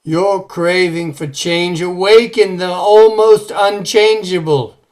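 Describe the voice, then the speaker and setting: Man playing a high-voiced, slow, pious holy man. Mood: solemn. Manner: grave, declamatory, exclaiming. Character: solemn pious holy man battling evil. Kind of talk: old time radio horror acting.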